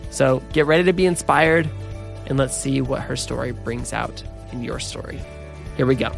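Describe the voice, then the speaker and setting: A man's voice: medium pitch, normal speed, vocal fry, over music. A man hosting a podcast, speaking in an effusive, earnest way as he introduces and interviews his guest. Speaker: podcast host.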